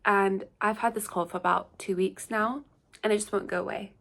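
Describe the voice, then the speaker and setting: A woman's voice: medium pitch, fairly even intonation, normal speed, gravelly, fairly bright tone. A young woman talks in a loose, scattered way, hopping from thought to thought. Her voice sounds stuffy and congested from a lingering cold. She sits at home in a shared house and chats casually to the camera. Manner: chatty, rambling, scattered. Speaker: beauty vlogger. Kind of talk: casual get-ready-with-me chat.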